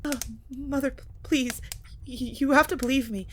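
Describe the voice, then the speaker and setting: A woman playing a frightened, high-strung young vampire daughter in an audio drama, stammering and pleading. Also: steady tone, moderate pace, fairly bright tone, a little hiss or hum. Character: panicked, stammering vampire daughter cowering before her mother. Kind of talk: audio roleplay drama acting.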